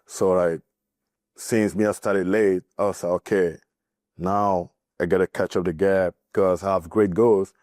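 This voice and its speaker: Deep-voiced young man, nervous and halting. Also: steady tone, a little mellow, no echo, clean studio sound. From Cameroon. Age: young adult. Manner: halting, filler-heavy, nervous. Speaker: college basketball player. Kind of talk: podcast interview.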